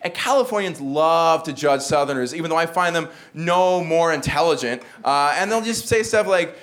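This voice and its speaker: A man's voice: on the high side, lively intonation, moderate pace. A young man from the American South with no regional accent, performing a stand-up comedy set with dry, self-mocking asides. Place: comedy club stage with a live audience. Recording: live club recording with loud audience laughter.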